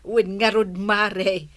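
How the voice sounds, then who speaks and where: A woman's voice: mid-range voice, level tone, drawn-out words, a bit bright. A woman playing a playful, exclamatory village gossip in a radio comedy drama, with an Ilocano accent. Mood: amused teasing. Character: giggly, playful village gossip siding with her friend.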